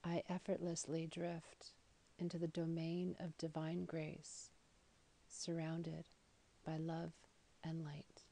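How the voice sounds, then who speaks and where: A woman leading a guided meditation in a soothing, deliberate manner, with phrases that trail off softly. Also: low-pitched, completely flat delivery. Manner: soothing, slow and deliberate with soft, breathy phrase endings. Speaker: yoga nidra guide.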